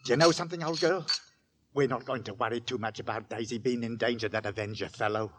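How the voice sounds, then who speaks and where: An actor playing an excitable, chatty working-class husband and father in a 1940s radio drama. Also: mid-range voice, quick pace, husky, lightly acted. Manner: eager, chatty, colloquial. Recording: vintage radio, faint noise.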